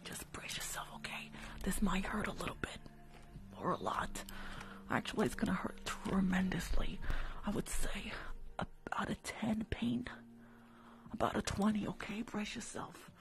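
A woman's voice: mid-range voice, measured pace. A woman doing a whispered ASMR roleplay as a rude dentist, bossy and teasing, often repeating short phrases. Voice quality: breathy whisper with creaky edges. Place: small room at home, indoors.

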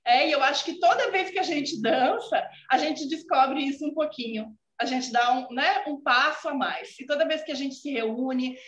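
A woman's voice: high voice, normal speed, few pauses. A Brazilian woman in her forties with a gaúcha accent, talking earnestly on a video call and often tagging her sentences with "né". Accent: Brazilian Portuguese, gaúcha. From southern Brazil. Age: 40s. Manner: warm, earnest, many filler "né" tags. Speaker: student guest. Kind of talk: casual video call chat. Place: home room indoors. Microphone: video call laptop mic. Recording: echoey, dull video call audio.

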